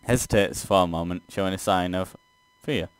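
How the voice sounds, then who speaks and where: A man's voice: low voice, moderate pace, clear, resonant tone, no room sound. A man doing a let's play, reading a text game aloud and reacting casually.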